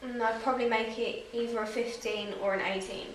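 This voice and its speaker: A woman's voice: fairly high pitch, fairly even intonation, a little fast, slight background noise. Teen female interviewee, short plain answers; heavy echo, distant mic. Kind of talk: casual answers. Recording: very echoey room, far from mic.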